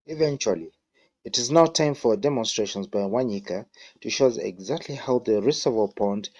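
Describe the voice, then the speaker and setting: A man's voice: mid-range voice, normal speed, darkish tone, highs cut off. A man narrates in a mid-range voice. He delivers scripted newsreel voice-over in the role of a reporter.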